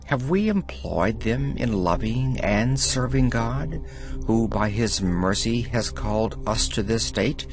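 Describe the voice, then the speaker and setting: Male audiobook narrator, very deliberate pacing, measured and solemn, faint music underneath. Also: fairly low pitch, expressive. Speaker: audiobook reader. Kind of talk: scripted reading of devotional letters.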